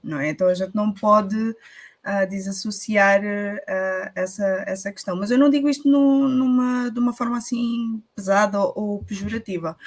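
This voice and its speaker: A woman with a European Portuguese accent co-hosts a radio talk show in a studio. Her voice is mid-pitched and her delivery careful and measured, with small hesitant fillers. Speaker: radio co-host. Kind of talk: casual radio chat.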